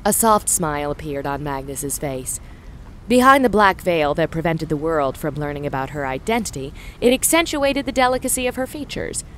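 Low-voiced woman narrating a story. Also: animated, normal speed, a little hiss or hum, poor audio. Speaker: audio drama narrator.

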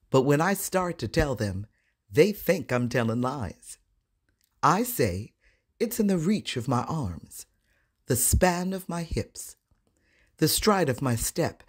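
A woman voice-over artist giving an expressive, dramatic poetry recitation with confident, deliberate phrasing and a husky voice. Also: extremely low pitch.

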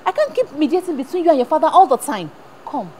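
A woman plays a worn-out mother who keeps the peace between her son and his father. Her high voice leaps up and down as she scolds, then coaxes him. Her words hop between languages. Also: very animated, quick pace, darkish tone, slight background noise. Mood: exasperated. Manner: code-switching, scolding and coaxing. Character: worried middle-aged mother mediating at home. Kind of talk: emotional family drama acting.

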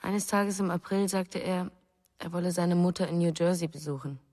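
Grieving young wife for radio drama, played by a woman: deep female voice, restrained, reverberant sound. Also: steady tone, normal speed, a little mellow, lightly acted. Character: grieving, restrained young mother with a missing husband. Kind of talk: German radio drama acting.

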